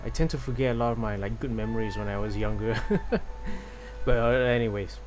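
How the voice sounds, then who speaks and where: A man's voice: lowish voice, fairly even intonation, fairly quick. A man giving casual, musing commentary as he streams a game. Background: game music.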